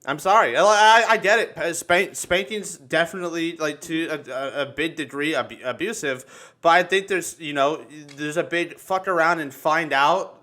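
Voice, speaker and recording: Man, fairly high bright forceful voice, casual storytelling, halting repeated words, frequent swearing. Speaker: livestream talk show host. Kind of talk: casual chat, personal storytelling.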